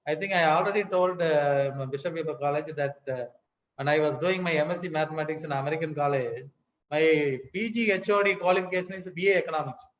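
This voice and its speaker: An older man with an Indian accent giving a formal lecture, emphatic and pausing often, repeating key words. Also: a little high, a little hiss or hum. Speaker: academic speaker. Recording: echoey hall.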